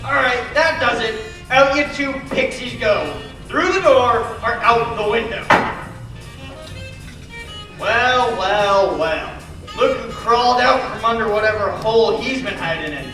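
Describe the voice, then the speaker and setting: A young woman playing gruff, suspicious working men in an old-fashioned radio play. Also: on the high side, animated, unhurried, a bit bright. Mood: mean, scornful. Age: young adult. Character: gruff, mean barkeep and wary cab driver. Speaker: student actor. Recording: echoey distant stage, music behind.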